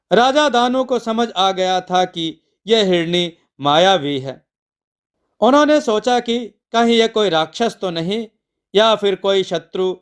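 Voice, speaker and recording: A man narrating a scripted devotional mythological story in a measured, deliberate storytelling way. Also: high-pitched, clear, resonant tone.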